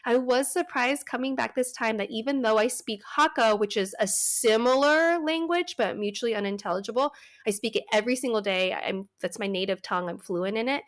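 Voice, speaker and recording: A woman's voice: fairly high pitch, brisk. A woman in her thirties with an American accent, talking casually as an interview guest, chatty with frequent laughs and restarts. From Taiwan, raised in the United States. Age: 30s. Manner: chatty, laughs often, many fillers and restarts.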